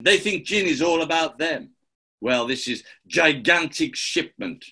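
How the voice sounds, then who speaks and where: Gravelly, swooping gangster boss. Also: male voice, on the high side, lively intonation, sharp tone, heavily acted. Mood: smug, menacing. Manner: slow, grandiose, theatrical. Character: pompous male gangster boss, gloating and menacing. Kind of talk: 1940s-style radio play acting.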